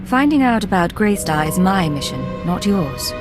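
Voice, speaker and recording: A woman's voice: lowish voice, big pitch swings, normal speed, loud music behind the voice. An actress playing a curt, confident female secret agent in a video game. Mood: cool, confident. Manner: curt, self-assured. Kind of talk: scripted video game dialogue.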